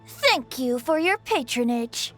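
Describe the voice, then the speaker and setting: A woman voicing a cheerful, playful young male bard with a theatrical, breezy, flattering manner in a video game. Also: high-pitched, heavily acted. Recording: music behind the voice.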